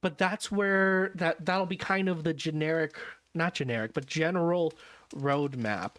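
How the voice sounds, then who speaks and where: A man's voice: fairly high pitch, unhurried, a bit bright. A man chatting casually to his stream audience, rambling and correcting himself with wry asides, tired and a little jet-lagged. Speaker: vtuber streamer.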